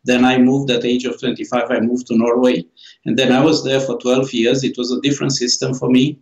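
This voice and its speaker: A man's voice: medium pitch, level tone, a little mellow. Romanian-accented male author, forties, hesitant interview guest, roomy sound. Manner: slow, halting, many fillers and restarts. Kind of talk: interview answers.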